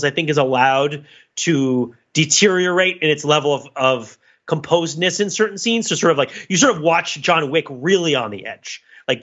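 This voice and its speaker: A man's voice: on the high side, fairly bright tone, lo-fi sound. A man co-hosting a podcast, talking through a film in a casual, analytical, rambling intellectual way. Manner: rambling, digressive, intellectual musing. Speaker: podcast co-host.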